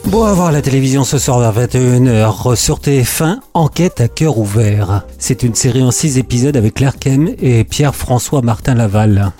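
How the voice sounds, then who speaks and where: A man's voice: mid-range voice, lively intonation, moderate pace, few pauses, warm tone, soft background music. A man presenting a radio media column, reading a script with opinionated, informal asides.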